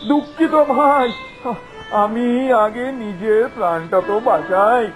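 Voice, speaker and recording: A brash, self-serving young friend in a children's cartoon, acted by a man. The voice is high for a male and swoops in a lively, exaggerated way, with faint music behind it. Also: slow, poor audio. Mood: alarmed. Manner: scoffing, exaggerated, expressive. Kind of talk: children's cartoon story acting. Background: background music.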